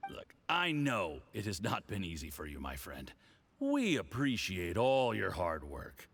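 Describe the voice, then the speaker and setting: A smug, scheming game villain, who loves to hear himself talk over a drink. His delivery is theatrical and hugely varied in pitch, swinging through boastful, patronizing flourishes. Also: huge pitch swings, measured pace, gravelly, fairly bright tone, slightly rough, heavily acted. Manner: smug, theatrical, savouring phrases. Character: boastful, scheming drunken male foreign agent, patronizing. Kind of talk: video game voice acting.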